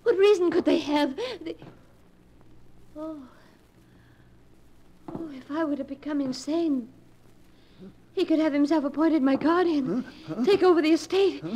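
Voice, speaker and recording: An actress playing a frightened young woman who fears for her sanity, breathless and halting, in 1940s radio melodrama style. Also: sits high, warm tone. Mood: hysterical, tearful, then fearful, realizing. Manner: breathless, halting, repeating words in dread. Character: frightened young heiress fearing she is going insane. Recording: noisy 1940s radio broadcast recording.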